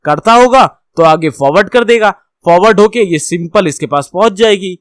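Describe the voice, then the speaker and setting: Male tutor, Indian accent, fairly high pitch, informal and explanatory, rephrases often, dry recording. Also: expressive, moderate pace, dry recording. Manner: repeats and rephrases points step by step; mixes English technical terms into speech. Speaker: instructor.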